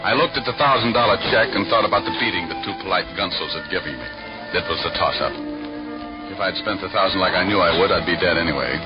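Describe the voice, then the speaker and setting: Male actor as hard-boiled radio gumshoe: deep, tough, sardonic, muffled vintage sound. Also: fast, natural voice. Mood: wry narration. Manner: dry, sardonic, clipped tough-guy wisecracks. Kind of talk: 1940s-50s radio noir acting and first-person narration. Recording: muffled vintage radio recording, music behind.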